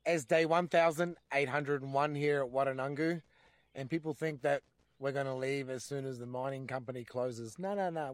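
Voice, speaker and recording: A man speaking a defiant, steady activist message. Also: on the high side, dry recording. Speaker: land rights activist. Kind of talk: recorded spoken message.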